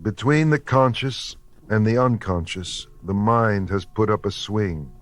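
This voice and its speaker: Slow, low-voiced man reading poetry. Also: no echo. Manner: measured, deliberate phrasing with pauses. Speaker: poetry reader. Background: soft background music.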